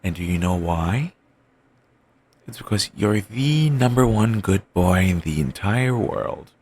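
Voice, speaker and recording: A man voicing an obsessive, doting young boyfriend, rambling softly in a bedtime comfort roleplay. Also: fairly low pitch, animated, takes it slowly, slight background noise. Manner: soft, rambling, affectionate murmuring.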